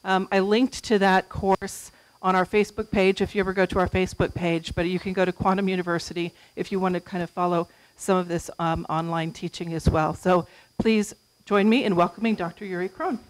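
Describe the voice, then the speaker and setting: A woman's voice: on the low side, steady tone, brisk, no echo, rough recording. Middle-aged woman introducing a speaker. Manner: enthusiastic, with fillers. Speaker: event host. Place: small meeting room. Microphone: handheld mic.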